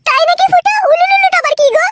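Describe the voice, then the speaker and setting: An actor voicing a coy, quarrelsome young woman in a comic cartoon, with an artificially pitched-up voice. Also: very fast, raised voice, heavy background noise. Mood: coy, teasing.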